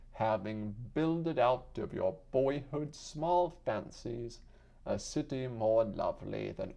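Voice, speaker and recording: Deep, slow, creaky-voiced man reading solemnly. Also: darkish tone, lo-fi sound. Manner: solemn, deliberate, theatrical delivery. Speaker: audiobook reader. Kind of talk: dramatic literary narration.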